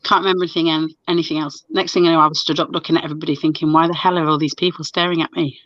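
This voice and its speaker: A woman's voice: sits low, little pitch movement, very fast, a bit bright, dry recording. Chatty woman, dull lo-fi sound. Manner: chatty, stop-start storytelling with many restarts. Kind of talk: casual video chat.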